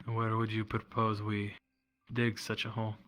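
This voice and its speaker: A man's voice: fairly low pitch, monotone, moderate pace, a bit bright, faint music behind, natural voice. A man playing an obedient guard officer in a roleplay game, hesitant and deferential in a matter-of-fact way. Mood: dutiful, hesitant. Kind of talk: improvised roleplay in a virtual-world game.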